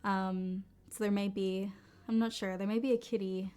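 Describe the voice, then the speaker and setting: A chatty woman hosts a live stream from her basement studio at home and shows off keyboards in a loose, rambling way. She fills her talk with lots of "um"s and "like"s and restarts her sentences. Her voice sounds a little deeper than usual because she is sick. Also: level tone, normal speed, mellow, clear, resonant tone. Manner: chatty, many fillers and restarts. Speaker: livestream product host. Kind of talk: casual live-stream product demo.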